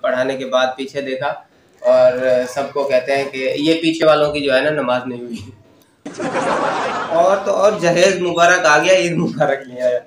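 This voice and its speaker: A man's voice: medium pitch, moderate pace. Young male stand-up host, self-mocking and chatty, lapel mic, echoey studio with background noise. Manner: chatty and self-mocking, laughs through his own lines. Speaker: stand-up comedian and channel host. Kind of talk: casual stand-up comedy monologue. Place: studio in front of a lit backdrop.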